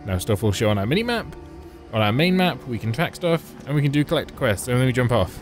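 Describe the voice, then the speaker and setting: A man chats through a video game with a wry, mocking edge, poking fun at its plot and quests. Loud game music plays under his voice the whole time. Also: medium pitch, big pitch swings, fast. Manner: wry, mocking, chatty asides. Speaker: let's play host. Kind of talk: sarcastic gameplay commentary.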